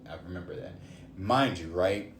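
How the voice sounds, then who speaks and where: A man's voice: low-pitched, moderate pace, slight background noise. A man in his late twenties ranting casually on a livestream while reading cards, thinking aloud with swearing and sudden exclamations. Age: 20s. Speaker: streamer doing a card reading. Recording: echoey room with faint ambience.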